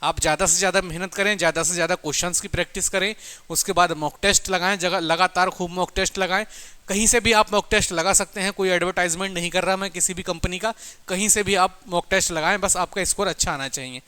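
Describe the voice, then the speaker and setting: A man's voice: sits high, steady tone, fast talker, pushed and bright, clean tone. A man giving an informal motivational exam-coaching talk in an emphatic, repetitive, exhorting manner. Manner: emphatic, repetitive, exhorting, addressing listeners as brothers and friends.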